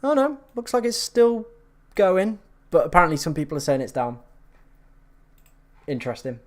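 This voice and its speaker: A man hosting a live stream, chatting casually and reacting to viewer comments, his voice slightly hoarse from hours of talking. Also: on the high side, sing-song, moderate pace.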